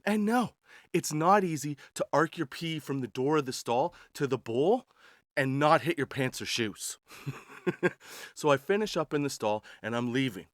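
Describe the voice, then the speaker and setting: A man's voice: a little high, lively intonation, normal speed, dry recording, studio quality. A man telling casual comedic stories in a slangy, crude, sarcastic way. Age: 40s. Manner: slangy, crude, sarcastic, addressing listeners as dude. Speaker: podcast host telling travel stories.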